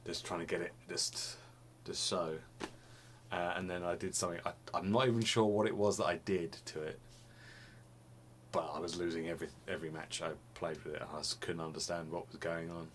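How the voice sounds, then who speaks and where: A young man with a very deep, low voice talks loosely to the camera, circling back and repeating himself as he goes. He sounds a little sniffly. It is recorded on a desk camera in a small room at home, with a faint hiss behind him. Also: fairly even intonation, fairly quick, a bit bright. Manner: rambling, restarts phrases, laughs often. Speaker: vlogger. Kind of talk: casual chat to camera.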